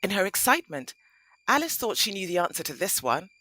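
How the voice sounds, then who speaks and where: A woman narrating a scripted audio play in an expressive, dramatic storytelling manner. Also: medium pitch, brisk, faint music behind, low-quality recording.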